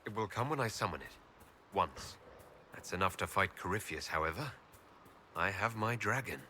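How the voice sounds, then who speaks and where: A grave game figure who can call a dragon to his side, speaking low, quiet and slow with a bright, cutting edge to his tone. His voice comes with a faint hiss of background noise. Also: animated, full character voice. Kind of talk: video game cutscene dialogue.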